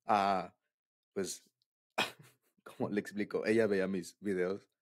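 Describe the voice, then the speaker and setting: A man's voice: low voice, fairly even intonation, takes it slowly. A young man with a Mexican accent in a casual, confiding boyfriend ASMR roleplay, bashful and hesitant.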